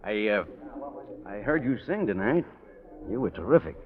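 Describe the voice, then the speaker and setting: A man's voice: lowish voice, very animated, moderate pace. An actor playing an easygoing, familiar man flirting at a bar, with an American accent, in 1940s radio drama style. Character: smooth, persistent male flirt at a cocktail bar. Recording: dull vintage 1940s radio recording.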